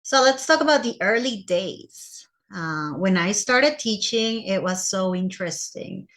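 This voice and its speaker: Costa rican lady english teacher w spanish accent, bright crisp-edged voice, giving a personal talk to other teachers. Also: mid-range voice, lively intonation, a little slow. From Costa Rica. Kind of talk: personal presentation to fellow teachers.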